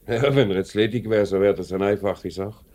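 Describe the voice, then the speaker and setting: A very low-voiced Swiss German company director, acted by a man in an old radio drama. He is deliberate and questioning, a man in charge who is reluctant to be hard. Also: dark tone, close to an everyday voice. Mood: doubtful. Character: lenient, hesitant company director, middle-aged man in charge. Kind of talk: 1950s radio play acting.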